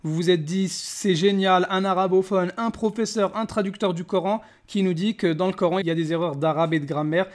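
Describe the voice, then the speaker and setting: Guy with a high voice ranting and mocking his opponents, really pushy. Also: fairly even intonation, fairly quick, hardly any pauses. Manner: mocking, combative, emphatic. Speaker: YouTube host. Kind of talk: polemical commentary to camera.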